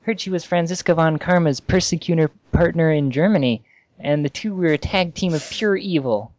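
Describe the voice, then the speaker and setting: A man voicing a flustered, earnest young defense lawyer, somewhat halting, in an amateur reading of fan fiction. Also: fairly high pitch, darkish tone, dry recording, lightly acted. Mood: alarmed.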